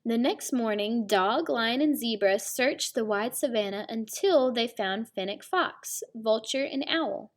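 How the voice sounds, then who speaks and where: A woman's voice: on the high side, unhurried, hardly any pauses. A woman reading a children's picture book aloud like a teacher, with explanatory asides. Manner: explains words and story asides. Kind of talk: children's storybook read-aloud.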